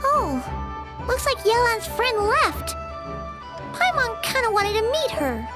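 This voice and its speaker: Game companion: a tiny excitable girl fairy, very high and sing-song. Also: slow, full character voice. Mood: curious. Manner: excitable, talks about herself in third person. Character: tiny chirpy female floating fairy companion. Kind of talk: video game voice acting. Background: game music.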